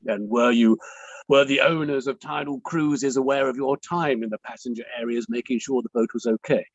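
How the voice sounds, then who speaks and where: A man playing a courteous, deliberate barrister who pauses as he cross-examines, in a table read of an inquiry play. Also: mid-range voice, steady tone, dry recording, natural voice. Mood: politely probing. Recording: dull, dry, lo-fi microphone.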